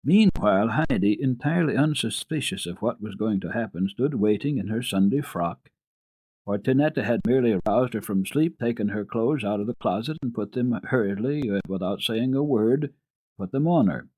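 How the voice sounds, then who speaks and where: A man narrating a children's classic as a radio storyteller, in a measured, expressive, formal reading. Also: lowish voice, no room sound, poor audio.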